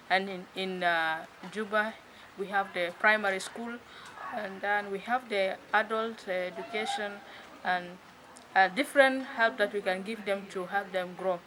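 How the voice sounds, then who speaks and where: A young woman from Sudan, a religious sister answering interview questions with halting pauses and fillers. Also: mid-range voice, steady tone, bright, forceful tone, a little hiss or hum, low-quality recording. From South Sudan. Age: young adult. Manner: hesitant, pauses with many fillers.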